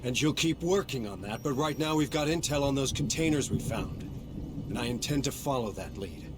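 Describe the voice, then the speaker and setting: A man's voice: medium pitch, lively intonation, normal speed, background noise, close to an everyday voice. A man playing a stubborn, commanding marine sergeant in a sci-fi game cutscene. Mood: firm. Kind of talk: dramatic video game cutscene acting.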